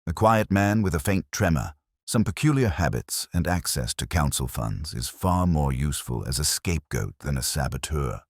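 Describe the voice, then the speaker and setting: A man playing a wry, analytical police inspector who speaks deliberately in a mystery story. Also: very deep voice, lively intonation, dry recording, clean studio sound, heavily acted. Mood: wry, measured.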